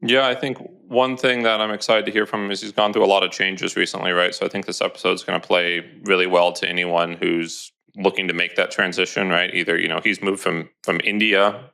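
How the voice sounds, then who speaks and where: Deep gravelly podcast host. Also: male voice, little pitch movement, brisk, hardly any pauses, pushed and bright. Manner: casual, tags sentences with "right?". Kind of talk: casual podcast chat.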